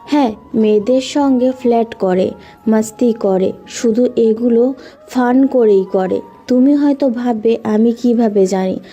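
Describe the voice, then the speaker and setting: A woman's voice: on the high side, dark tone. A woman playing an earnest young woman, a loyal sister, in an audio story drama. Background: background music.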